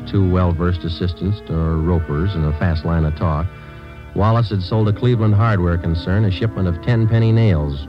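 A man's voice: low-pitched, natural voice. An actor playing a terse police sergeant with an American accent, narrating his case in a clipped, matter-of-fact way in the style of 1950s radio drama. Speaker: detective and narrator. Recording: dull vintage radio recording with music.

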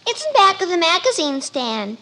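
A woman's voice: very high-pitched, big pitch swings, moderate pace, a bit bright, full character voice. A woman playing an eager, earnest little girl in an old-time radio sitcom. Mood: earnest, helpful. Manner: childlike, earnest. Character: eager little girl, youngest daughter of the family. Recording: noisy vintage radio recording.

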